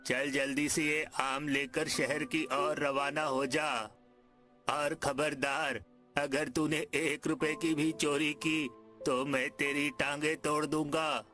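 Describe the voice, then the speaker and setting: A man's voice: a little high, level tone, takes it slowly, pushed and bright, faint music behind. An actor voicing a cruel, miserly uncle in a cartoon story, bossy and threatening as he scolds. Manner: scolding, barking orders.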